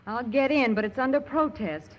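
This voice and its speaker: A woman's voice: on the high side, expressive, moderate pace. An actress playing a nervous but spirited young woman in a 1940s radio drama, with a wary, wry manner. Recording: dull, hissy old radio broadcast.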